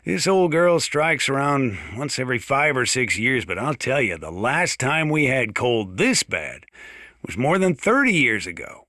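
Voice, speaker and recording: Folksy American mountain sheriff, bright gritty edge. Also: male voice, medium pitch, sing-song, unhurried, no echo, clean studio sound. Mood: reflective storytelling. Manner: folksy, wry, drawling storyteller. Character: folksy, gruff, sardonic older small-town mountain sheriff. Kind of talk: in-character radio monologue for a video game.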